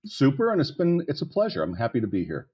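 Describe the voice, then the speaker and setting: A man's voice: mid-range voice, huge pitch swings, quick pace, a little mellow, husky. A man in his sixties from the Pittsburgh area, speaking as a guest on a podcast interview in a blunt, candid way that rambles with many restarts. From United States, Pittsburgh area. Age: 60s.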